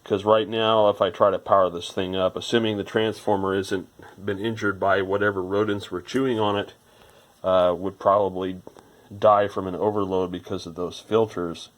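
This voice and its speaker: A man with a very low voice narrates a hands-on electronics repair in an informal way. He thinks aloud as he works, wandering a little from point to point like a hobbyist explaining the job. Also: level tone, moderate pace.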